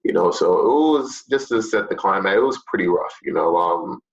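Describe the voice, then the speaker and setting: Deep-voiced teacher chatting with his students. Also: normal speed, dull audio. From Jamaica. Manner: relaxed, chatty, many fillers. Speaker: fitness teacher. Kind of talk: casual talk to students.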